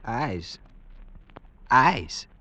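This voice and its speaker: A man's voice: mid-range voice, big pitch swings, very slow, pushed and bright, full character voice. An actor playing a puzzled, solemn blind male villager with archaic phrasing in a vintage radio drama. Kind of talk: 1940s-50s radio drama acting.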